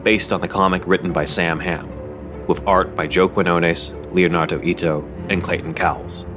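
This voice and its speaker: A man's voice: low-pitched, steady tone, moderate pace. A man reading scripted narration for an audio drama. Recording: loud music under the voice.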